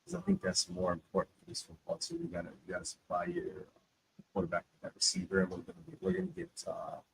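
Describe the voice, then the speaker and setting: A man's voice: low voice, quick pace, vocal fry, background noise. A man co-hosting a draft show, talking in a slangy, casual way as he debates his pick. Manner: animated, slangy, says bro a lot. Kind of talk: casual mock draft chat.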